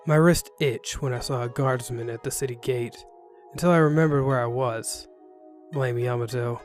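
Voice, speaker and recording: A man narrates a first-person ronin tale with dry sarcasm. His voice is mid-pitched, and music plays behind the reading. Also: moderate pace, a little mellow. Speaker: narrator reading an interactive novel. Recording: music bed under the voice.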